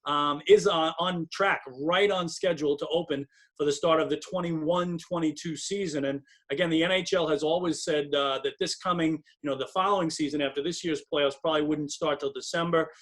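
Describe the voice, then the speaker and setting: A man's voice: a little high, steady tone, a little fast, fairly bright tone. A man working as a hockey broadcaster, giving emphatic, detailed and fluent sports analysis as an interview guest.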